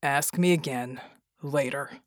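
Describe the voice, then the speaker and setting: A woman narrator voicing a gruff male soldier with dry humor, speaking in a slow, weary, low drawl. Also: no room sound. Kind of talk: audiobook narration.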